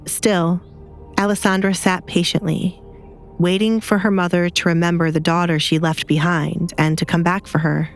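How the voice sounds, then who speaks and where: A woman's voice: lowish voice, little pitch movement, moderate pace, faint music behind. A woman reading scripted dramatic fiction as an audiobook narrator, with light noise behind her.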